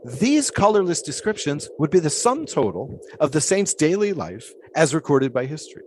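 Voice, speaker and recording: An actor playing a philosophical, theatrical flagpole sitter from the 1920s, musing and lecturing in a period audio drama. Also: male voice, a little high, big pitch swings, normal speed, a little mellow, slight background noise. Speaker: flagpole sitter being interviewed.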